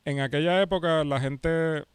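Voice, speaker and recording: Smooth-voiced Puerto Rican man in his forties. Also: a little high, steady tone, normal speed, dry recording. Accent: Puerto Rican Spanish. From Puerto Rico. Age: 40s. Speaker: pastor and podcast host being interviewed. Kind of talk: casual conversational interview answers.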